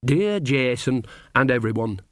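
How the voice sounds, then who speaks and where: A man's voice: mid-range voice, expressive, unhurried, no room sound, studio quality, lightly acted. A man voicing a cheerful, earthy old woman witch in a storybook, cheeky and folksy in manner. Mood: chatty. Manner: folksy, mischievous.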